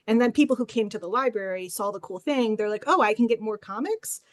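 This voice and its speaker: A woman's voice: fairly high pitch, lively intonation, a little fast, dry recording. A woman speaking as a librarian on a panel, sharing experience informally through anecdotes.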